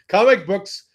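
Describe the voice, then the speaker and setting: An older man from Texas on a casual video call, talking in a joking, playfully boastful way. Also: sits high. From Texas, USA. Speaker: guest.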